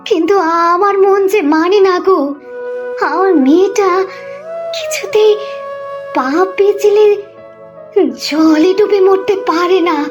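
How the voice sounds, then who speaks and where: A woman playing a grieving middle-aged village mother in a cartoon story, speaking in a mournful, halting, plaintive way. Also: very high-pitched, expressive, highs cut off, full character voice. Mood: tearful disbelief. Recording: music behind the voice.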